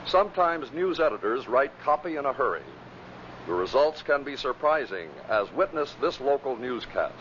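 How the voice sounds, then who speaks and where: A male narrator from the golden age of radio introduces clips in a scripted announcing style. His pitch is mid-range, and the recording is dull with faint background noise. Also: sing-song, moderate pace, fairly bright tone.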